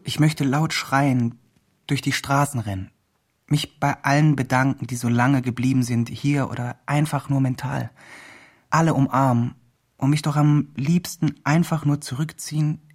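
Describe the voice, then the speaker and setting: A man's voice: mid-range voice, fairly even intonation, measured pace, lightly acted. An actor playing an earnest activist who narrates his friend's progress in the first person in a radio play. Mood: moved, reflective.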